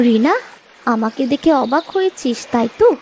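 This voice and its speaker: A woman voicing a bold, sharp-tongued, protective village sister-in-law in a cartoon, assertive in manner. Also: a little high, lively intonation, moderate pace, slight background noise. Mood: frank, bitter. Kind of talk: cartoon moral story voice acting.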